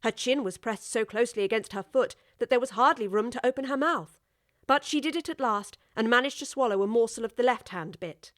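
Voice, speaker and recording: A woman reading a storybook as audiobook narrator, in a measured, expressive way. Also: fairly high pitch, no room sound, clean studio sound.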